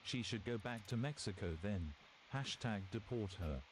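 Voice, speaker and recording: Low male text-to-speech reader. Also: expressive, moderate pace, noisy background. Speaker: donation message reader. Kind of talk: read-out text-to-speech message.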